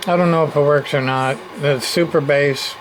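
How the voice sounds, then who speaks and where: A man's voice: fairly high pitch, steady tone, moderate pace, noisy background. A man giving a casual, unscripted gear demo, rambling along in plain-spoken, folksy grammar like a repairer showing his work. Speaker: radio repairer and online seller showing gear.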